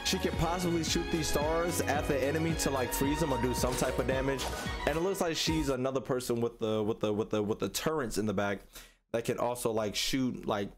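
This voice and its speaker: A man's voice: mid-range voice, a little fast. Young guy doing reaction commentary, slangy, keeps repeating himself, bit of music behind him. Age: young adult. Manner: slangy, repeats phrases in bursts. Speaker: reaction video host. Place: small room indoors at a streaming setup. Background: music from the trailers he watches.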